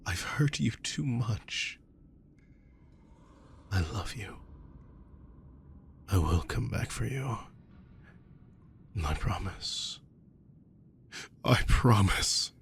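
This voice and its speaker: A man voicing a desperate male vampire prince who speaks in a hushed, half-whispered, pleading way. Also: lowish voice, lively intonation, extremely slow, gravelly, long pauses, slight background noise, heavily acted. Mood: anguished. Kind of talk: audio drama roleplay.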